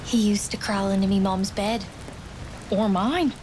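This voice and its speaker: A woman playing a young, grieving wartime nurse in a game drama, soft and wistful, with a Newfoundland accent. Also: medium pitch, steady tone, measured pace, a little hiss or hum, lightly acted. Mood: tender, quiet. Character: grieving wartime nurse, sister of a fallen soldier. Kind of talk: dramatic game cutscene storytelling.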